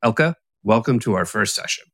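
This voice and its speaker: A man hosting a podcast, reading his introductions and questions in a formal, measured way. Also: lowish voice, lively intonation, a bit bright, no room sound, studio quality. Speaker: podcast host. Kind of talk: scripted interview questions.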